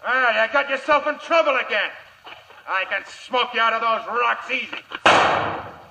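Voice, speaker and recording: A man's voice: extremely high pitch, expressive, unhurried, vocal fry, full character voice. An actor playing a murderous, goading outlaw in a 1950s radio western, calling out his taunts. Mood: taunting. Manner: loud, goading. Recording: faintly noisy old radio recording.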